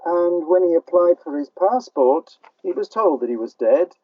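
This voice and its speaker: A man's voice: a little high, animated, moderate pace, no echo. An older man with a British accent answering interview questions conversationally as a playwright, a little hesitant, over a remote call line. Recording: dull, band-limited call line.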